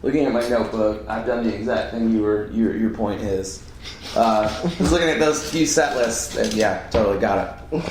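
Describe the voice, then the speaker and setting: A man's voice: on the low side, normal speed. A man of about thirty, talking in a laid-back, rambling way as a comedian co-host. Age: 30s. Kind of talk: casual chat. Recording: roomy echo with faint hiss.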